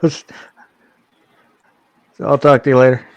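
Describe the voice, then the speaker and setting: A man's voice: mid-range voice, little pitch movement, takes it slowly, dry recording, dull audio. A man talking casually as a guest dropping in on a livestream. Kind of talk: casual chat.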